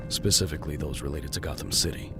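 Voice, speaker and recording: A man's voice: rumbling low, steady tone, fast. A man playing a grim, terse vigilante detective in an audio drama, with a curt, commanding, brooding manner. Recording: loud music under the voice.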